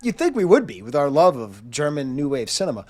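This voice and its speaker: A man's voice: on the high side, big pitch swings, a little fast, a little mellow. A man in his forties with a nasal, congested voice from a chest cold, chatting through a self-mocking story in a casual monologue and acting out quotes. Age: 40s. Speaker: channel host.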